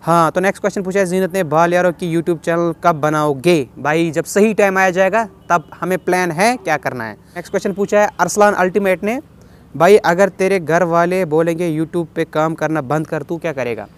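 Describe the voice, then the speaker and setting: A young man with a fairly high voice and a Kashmiri accent hosts a casual question-and-answer vlog. He reads each question aloud and replies in a chatty way, dropping in bits of English. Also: normal speed, clear, resonant tone. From Kashmir, India. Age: 20s. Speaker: vlogger answering viewer questions. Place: outdoors on a rooftop.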